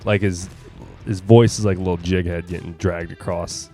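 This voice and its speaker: Male co-host, low register, casual and jokey, speaking in slang. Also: steady tone, normal speed, dark tone, soft background music. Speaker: podcast co-host. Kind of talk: casual joking banter.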